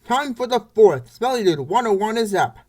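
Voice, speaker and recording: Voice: mid-range voice, animated, moderate pace, a little mellow. A baseball play-by-play announcer reading scripted commentary for a comic dub in short, clipped phrases.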